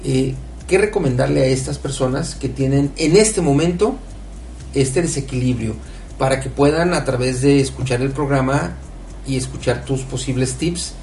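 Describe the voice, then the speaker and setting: Radio co-host, male, mid pitch, rambling questions, roomy sound a bit off mic. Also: normal speed, darkish tone, far from the mic. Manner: long winding questions with many fillers. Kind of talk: radio interview. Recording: echoey room, off mic, faint noise.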